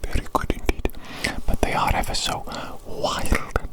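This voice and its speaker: A woman whispering an ASMR roleplay as a doctor fussing over a creature, coaxing and soothing, often repeating her phrases. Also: moderate pace.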